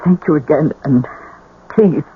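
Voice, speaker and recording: An actress playing a grieving, middle-aged wealthy mother in a radio drama, theatrical and halting, repeating her words. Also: sits low. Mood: timid, grateful. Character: gullible, grieving wealthy mother, desperate and pleading. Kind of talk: old-time radio drama acting. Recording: thin, noisy phone-like radio recording.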